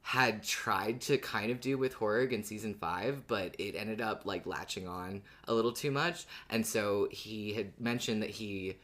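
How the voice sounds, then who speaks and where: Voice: mid-range voice, measured pace, hardly any pauses, a bit bright. A podcast co-host in casual fan discussion, talking in a joking, playful and sweary way.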